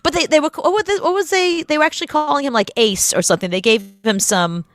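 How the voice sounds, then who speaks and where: A woman co-hosting a radio show in casual comedic chat, chatty and animated in her storytelling. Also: sits high, fast, dry recording, clean studio sound.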